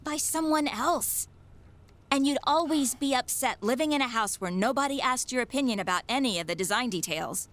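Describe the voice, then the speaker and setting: A woman playing a caring, persistent caregiver in a naturalistic radio drama, probing and gently teasing as she persuades. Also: high voice, animated, moderate pace. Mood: reflective, persuasive. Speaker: actor in an audio drama.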